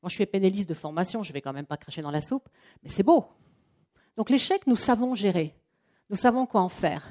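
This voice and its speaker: Chatty woman with a mellow voice. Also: mid-range voice, a little fast. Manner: lively, chatty, acts out quotes. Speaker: trainer and coach. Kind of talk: live workshop presentation. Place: training room with an audience.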